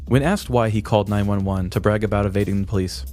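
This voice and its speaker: Casting: male podcast narrator, 30s, lower register, mock-dramatic comic crime storytelling over light music. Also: fairly even intonation, fast talker, darkish tone. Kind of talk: scripted true-crime comedy narration. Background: music bed.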